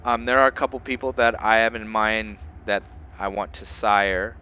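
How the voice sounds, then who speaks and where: A man's voice: fairly low pitch, fairly even intonation, normal speed, pushed and bright, lo-fi sound, a little hiss or hum. A man doing casual commentary over a roleplay video game, muttering short reactions and talking to his viewers. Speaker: gaming video host playing and commentating. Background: game music.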